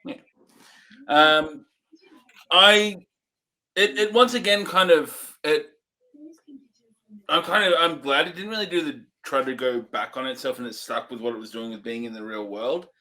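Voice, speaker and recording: Bright-voiced man giving rambling opinions. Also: on the high side, a little slow, pauses often, poor audio. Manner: rambling, hesitant opinions with many restarts. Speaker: co-host. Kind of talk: casual review chat.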